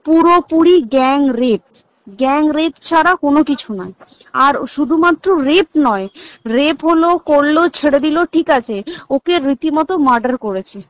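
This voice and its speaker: High-voiced Bengali woman on a muffled call. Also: normal speed, dry recording. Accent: Bengali, West Bengal. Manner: emphatic, repeats phrases, mixes in English words. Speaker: hospital insider recounting events on a phone call. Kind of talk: informal private phone conversation. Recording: muffled lo-fi recorded phone call.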